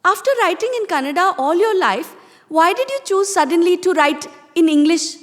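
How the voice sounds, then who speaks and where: A woman's voice: very high-pitched, lively intonation, normal speed. An actress with an Indian accent playing a poised, self-satisfied woman novelist giving a theatrical, confiding address in a reverberant space. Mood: mock-confessional, wounded. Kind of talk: stage monologue reading. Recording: reverberant hall.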